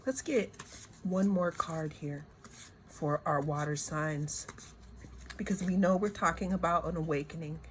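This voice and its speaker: A woman reads oracle cards in a hoarse, lowish voice, taking her time over every phrase. She circles back and repeats words as she searches for what to say. A faint background hiss sits under her voice. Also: measured pace, low-quality recording. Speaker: tarot and oracle card reader. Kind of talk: slow, reflective spiritual card reading.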